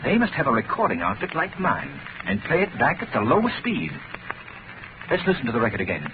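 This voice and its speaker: A shrewd gentleman detective in a 1930s radio drama. He explains things urgently and crisply with swooping, lively pitch. The old recording is narrow and clearly noisy. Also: a little fast. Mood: curious discovery.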